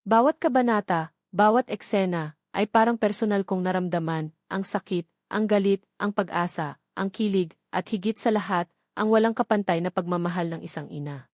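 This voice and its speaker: A woman reading a scripted first-person love story as narrator, with sentimental, measured phrasing. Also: medium pitch, darkish tone, no room sound, studio quality.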